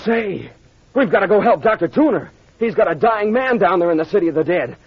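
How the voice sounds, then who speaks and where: Husky, earnest young hero. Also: male voice, sits high, lively intonation, brisk, no echo. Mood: urgent. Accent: American. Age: young adult. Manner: earnest, intense. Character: earnest, excitable young man, devoted and protective. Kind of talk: old-time radio drama acting. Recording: muffled old radio recording.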